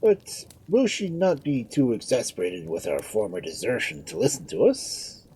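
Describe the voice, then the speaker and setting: A woman's voice: a bass voice, wildly swooping pitch, normal speed, warm tone, a little hiss or hum, pitched above the performer's own voice, heavily acted. A woman voicing a complaining male doctor and timid plotter in a story, in a groaning, aggrieved manner.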